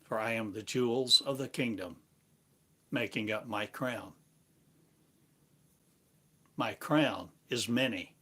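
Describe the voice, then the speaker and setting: A man giving a free-form spiritual sermon, chanting in drawn-out, incantatory phrases for a live stream. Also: lowish voice, a bit bright, breathy edge. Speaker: preacher. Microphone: live stream device.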